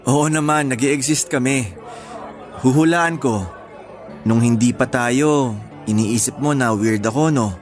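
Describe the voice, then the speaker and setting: A man playing a quiet, gentle homebody in radio drama dialogue, talking in a casual, matter-of-fact way. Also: mid-range voice, a little slow, long pauses, natural voice. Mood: easygoing. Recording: faint music under dialogue.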